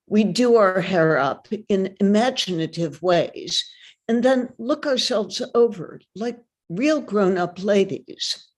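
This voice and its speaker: A woman giving a measured, deliberate dramatic reading of a first-person story. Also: medium pitch. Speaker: reader.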